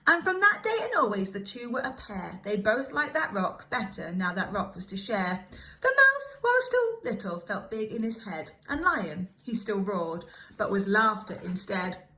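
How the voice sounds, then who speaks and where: A woman reading a children's story aloud in an expressive, dramatic way, like a primary school teacher. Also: medium pitch, moderate pace, slight background noise, rough recording. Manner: animated, dramatic emphasis.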